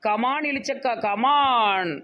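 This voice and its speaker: Man doing a high-pitched, teasing cartoon neighbour. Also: slow pace, lo-fi sound, slight background noise. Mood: gleeful. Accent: southern Tamil Nadu. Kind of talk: comic cartoon dialogue in colloquial dialect.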